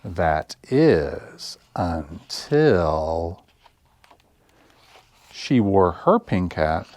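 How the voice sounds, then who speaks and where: A man with a fairly low voice hosts a story time for small children. He reads very slowly, with deliberate phrasing made for young listeners. Music plays behind his voice. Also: very animated, a little mellow. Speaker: library story time host. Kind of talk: children's read-aloud and sing-along story time.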